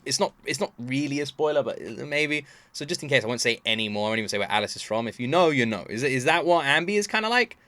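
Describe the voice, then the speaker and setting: A man giving casual, unscripted reaction commentary as the host, thinking aloud in an animated, puzzled way. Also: mid-range voice, quick pace, few pauses, no room sound.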